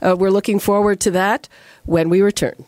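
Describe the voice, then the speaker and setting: A woman hosting a radio call-in show in a measured way, with frequent hesitations. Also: medium pitch, fairly even intonation, a little mellow, dry recording, studio quality. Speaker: radio host. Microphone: studio microphone.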